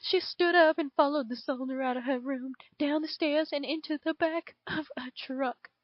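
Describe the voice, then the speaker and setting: A woman's voice: high voice, steady tone, normal speed, no room sound, lo-fi sound, natural voice. A woman voicing a drawling southern dragon. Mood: dramatic. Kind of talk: reading fan fiction aloud.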